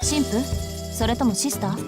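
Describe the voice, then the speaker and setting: A high-pitched teenage schoolgirl acted by a woman in visual novel style. She talks fast, curt and irritable, with a sardonic edge to her blunt lines. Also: lightly acted. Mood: brusque. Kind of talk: Japanese visual novel voice acting. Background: game music.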